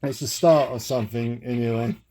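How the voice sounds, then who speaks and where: A man giving a casual, rambling demonstration of a homemade device, halting and thinking aloud as he goes. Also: mid-range voice, steady tone, warm tone.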